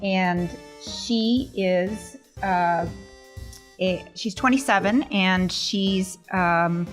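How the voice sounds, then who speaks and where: A woman's voice: mid-range voice, extremely slow, faint music behind. A woman speaking casually as a tabletop role-playing player, introducing the character she plays.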